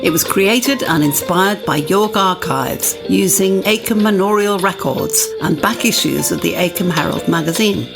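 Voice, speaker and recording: A woman's voice: lowish voice, unhurried, creaky, few pauses, over music. A woman announcer reading scripted programme credits.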